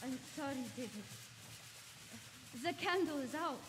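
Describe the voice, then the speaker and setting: A woman's voice: sits high, expressive, unhurried, noisy background. A woman acting as a grave, resigned doctor in a radio horror drama, earnest and fatalistic. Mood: despairing. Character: grave, resigned doctor battling supernatural beings. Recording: reverberant hall.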